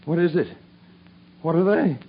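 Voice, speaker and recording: A gravelly, drunken coward. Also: male voice, a little high, a little slow. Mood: terrified. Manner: slurred, frightened pleading. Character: drunken, cowardly man being hunted for revenge. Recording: dull old radio recording with faint hiss.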